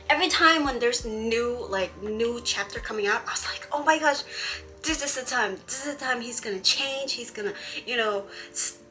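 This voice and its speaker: A woman vlogger from Hawaii talks through her frustrations in a loose, rambling way, full of fillers and restarts. Her voice is bright and forward, and faint music plays underneath. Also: a little high, moderate pace. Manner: chatty and exasperated, many fillers and restarts. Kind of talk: casual chat about a comic.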